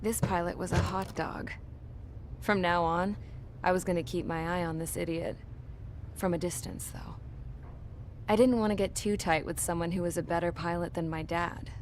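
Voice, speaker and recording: An actress playing a young, self-assured aircraft mechanic, narrating in a dry, sardonic manner for a video game. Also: female voice, on the low side, fairly even intonation, normal speed, slight background noise, close to an everyday voice. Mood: wry, reflective. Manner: dry, sardonic, plain-spoken. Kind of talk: reflective scripted voice-over narration.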